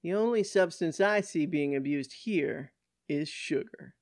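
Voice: medium pitch, animated, a little slow, no echo, studio quality. A narrator voicing a stern, old-fashioned male police officer, condescending and firm, in an audiobook story. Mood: declaring.

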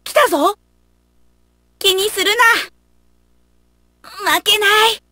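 A woman's voice: extremely high pitch, takes it slowly, pushed and bright, dry recording, studio quality, heavily acted. A woman voicing a wild, simple-minded feral child warrior in a video game, speaking in blunt broken phrases with beast-like growls. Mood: fierce, blunt. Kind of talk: Japanese video game battle voice lines.

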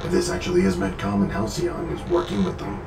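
An actor playing an authoritative older scientist, measured, precise and explanatory, in a science fiction audio drama. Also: male voice, lowish voice, very animated, over music, heavily acted. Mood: commanding. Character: authoritative, calculating older male scientist doctor. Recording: echoey room, clear background noise.

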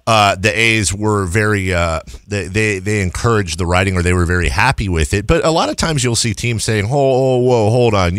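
Low-voiced American male sports radio host. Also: a bit bright, dry recording, clean studio sound. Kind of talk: conversational radio interviewing. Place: small padded radio studio. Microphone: close studio broadcast mic.

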